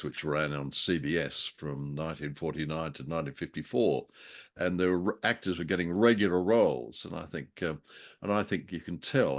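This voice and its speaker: Very low-voiced man chatting about radio. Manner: chuckling, hesitant, wordy and wry. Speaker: co-host of a radio drama review show. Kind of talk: casual conversational review.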